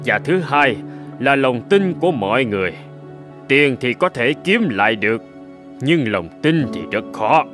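A calm principled older cartoon gentleman, mid-pitched, music playing under him. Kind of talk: dubbed cartoon morality-tale dialogue.

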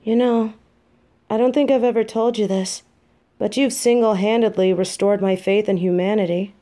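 An actress playing an affectionate, tired demon girlfriend in an audio roleplay, talking softly and close. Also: medium pitch, steady tone, moderate pace, close to an everyday voice.

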